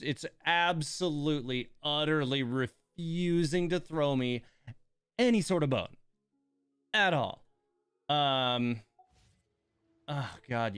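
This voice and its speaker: Man, live streamer, casual gameplay commentary, rambling, clean crisp voice, dry sound. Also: fairly high pitch, no room sound. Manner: rambling, thinking out loud.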